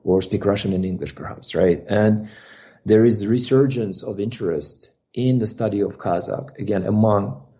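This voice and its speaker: A man's voice: on the low side, normal speed, mellow, highs cut off. A man speaking as a guest analyst on a policy panel, thoughtful and reflective, often checking in with "right?". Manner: thoughtful, ends points with "right?". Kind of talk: expert panel discussion.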